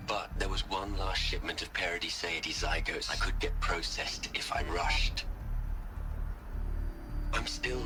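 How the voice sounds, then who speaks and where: An actor playing a bitter, regretful old scientist, confiding wearily into a recorded log in a video game. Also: male voice, deep voice, low-quality recording. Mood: regretful, strained. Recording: music behind the voice.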